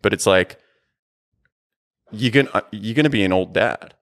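A man of forty with a fairly low, creaky voice full of vocal fry. He chats casually and informally, dropping swear words as he goes. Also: moderate pace, fairly bright tone. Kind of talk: casual chat.

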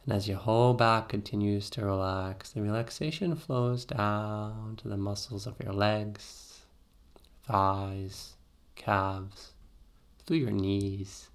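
A man with a fairly low voice leads a guided meditation. He gives soothing, gentle instructions and leaves pauses between phrases. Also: fairly even intonation.

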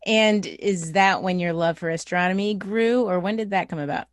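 A woman's voice: on the low side, moderate pace, no room sound, clean studio sound. A woman hosting a podcast, asking questions in a conversational interview style.

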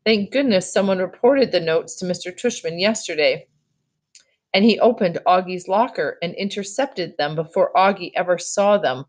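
A woman voicing a stern, measured school official. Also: fairly low pitch, close to an everyday voice. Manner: firm, admonishing, deliberate.

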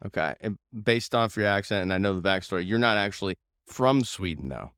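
An upbeat man with a fairly low, clean voice hosts a casual interview podcast. He sounds informal and enthusiastic, and the recording is close and dry. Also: lively intonation, brisk, a bit bright, clean tone, no room sound. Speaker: podcast host.

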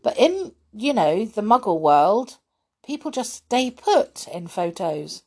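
A woman's voice: mid-range voice, sing-song, takes it slowly, a little mellow, husky, voice pushed up, full character voice. A woman voicing a polite, curious young boy wizard. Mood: mild.

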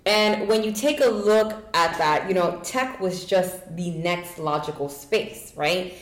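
A woman's voice: fairly low pitch, takes it slowly, reverberant. A woman speaking confidently in a casual conversation, explaining her work as a guest.

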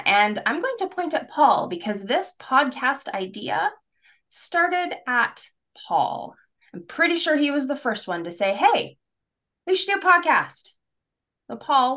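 A woman's voice: medium pitch, fairly bright tone. A woman co-hosting a podcast as a career coach, talking in an earnest, reflective way in long, flowing lists. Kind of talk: conversational podcast talk.

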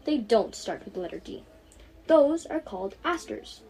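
A woman's voice: fairly high pitch, very animated, moderate pace, mellow, rough recording. A teenage young woman voicing a kindly, patient aunt who teaches, in a storybook reading. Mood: explaining. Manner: patient, explanatory, fond.

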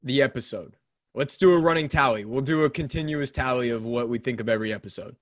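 A young man co-hosting a casual chat about a TV show, animated and quick to laugh. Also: mid-range voice, no room sound, studio quality. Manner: animated, laughs often, does mock voices in retelling. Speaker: podcast co-host. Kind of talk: casual chat reviewing a TV episode.

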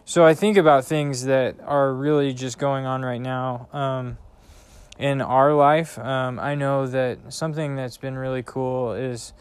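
A man who works as a youth pastor, talking casually in a slow, thoughtful way with many ums, recorded outdoors by a backyard bonfire. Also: mid-range voice, fairly even intonation, clear, resonant tone, no room sound. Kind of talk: casual conversation.

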